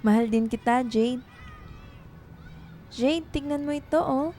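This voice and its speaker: Soft, high-voiced young woman in love. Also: slow pace, a little hiss or hum. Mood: affectionate. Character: Lila, tender, anxious young woman writer in love. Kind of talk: radio drama acting.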